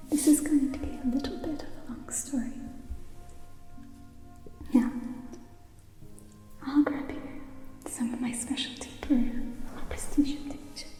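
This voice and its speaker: A woman playing a gentle, whimsical elf mage in a fantasy ASMR roleplay, speaking in a soothing, softly theatrical whisper. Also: high-pitched, rough recording. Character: gentle whimsical female elf mage hosting in magic tent. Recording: close whispered voice over music. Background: music.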